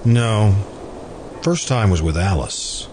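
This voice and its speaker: An actor playing a mild, courteous, lovelorn murderer in an American radio drama, speaking haltingly and politely. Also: male voice, on the low side, lively intonation. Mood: polite, calm. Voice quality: hesitant, halting delivery. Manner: polite, deferential, quietly stubborn. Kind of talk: old-time radio drama acting. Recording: amateur radio recording, clearly noisy background.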